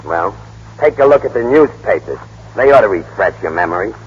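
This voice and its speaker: A very raspy, impatient press man, with lively, swooping delivery. He is blunt and bossy in classic radio style, heard in a muffled, echoing hall. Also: on the low side, brisk. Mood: exasperated, firm. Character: brusque, exasperated fast-talking press minder. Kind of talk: 1930s-40s radio acting. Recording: muffled old radio broadcast, cavernous reverb.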